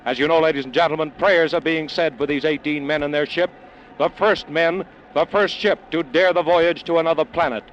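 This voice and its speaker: A man playing an awed, solemn American radio news reporter in a vintage radio drama, dramatic and pausing for effect, on an old noisy recording. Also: a little high, steady tone, highs cut off, lightly acted. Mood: solemn awe. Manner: dramatic, hushed pauses, repeats words for effect. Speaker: field news correspondent. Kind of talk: 1940s-50s radio drama live news commentary.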